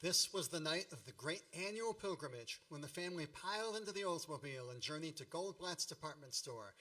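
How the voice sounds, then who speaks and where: A man's voice: a little high, bright, forceful tone, rough recording. A man playing a grown-up narrator in a radio play, wryly and grandly recalling his boyhood in mock-epic style.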